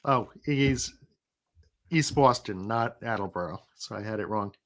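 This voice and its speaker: A man's voice: on the low side, measured pace. A middle-aged man with a Rhode Island accent hosting a casual live chat, reading out viewer comments as he goes. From New England. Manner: chatty, reads out viewer comments. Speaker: livestream host.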